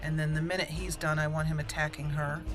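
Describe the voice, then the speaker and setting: A young woman hosting a let's-play, chatting excitedly through a battle and roleplaying dialogue. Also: deep voice, quick pace, faint music behind. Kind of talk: casual game commentary and roleplay.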